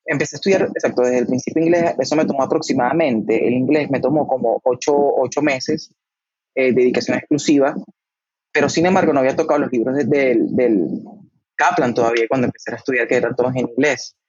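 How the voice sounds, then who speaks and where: Man in his 30s, husky voice, halting recall over a dull dry remote line. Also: medium pitch, fairly even intonation, a little mellow, dry recording. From Venezuela. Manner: hesitant, repeats words while recalling. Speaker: guest, doctor. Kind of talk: casual interview answers.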